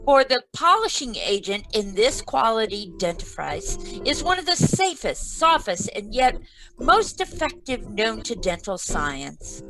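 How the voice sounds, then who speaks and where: A woman's voice: fairly high pitch, big pitch swings, a bit bright, soft background music, full character voice, low-quality recording. A woman playing a formal 1940s radio announcer reading sponsor copy over a video call. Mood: polished, promotional. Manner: measured, deliberate, formal. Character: polished 1940s radio announcer pitching toothpaste. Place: remote read-through over a video call.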